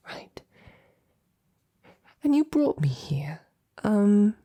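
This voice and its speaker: A woman playing a devoted, courteous female knight in an intimate romantic audio roleplay, speaking softly and breathily with gentle, halting, formal phrasing. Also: lowish voice, exaggerated voice. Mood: nervous, probing. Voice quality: breathy, soft. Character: devoted female royal knight, tender, courteous, easily flustered.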